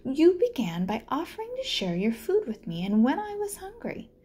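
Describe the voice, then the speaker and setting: A woman's voice: high-pitched, wildly swooping pitch, normal speed, dark tone, pitched above the performer's own voice. Woman reading as an earnest, humble storybook turtle. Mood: hurt, firm. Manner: earnest, polite. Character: slow, humble, hungry, well-mannered male turtle. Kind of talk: children's storybook read-aloud.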